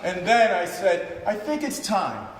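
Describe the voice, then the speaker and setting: An actor playing a swaggering, streetwise, boastful inmate and flirt in a live stage play. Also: male voice, high voice, huge pitch swings, unhurried, full character voice. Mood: exultant boasting. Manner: cocky, exuberant, teasing. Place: stage of a theater, live performance. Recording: echoey theater, faint noise.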